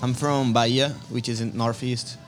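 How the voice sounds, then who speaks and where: A man's voice: mid-range voice, level tone, soft background music. Young Brazilian man, non-native English. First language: Portuguese. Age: 20s. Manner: friendly, non-native phrasing, halting. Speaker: guest soccer player. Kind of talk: casual interview. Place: brewery.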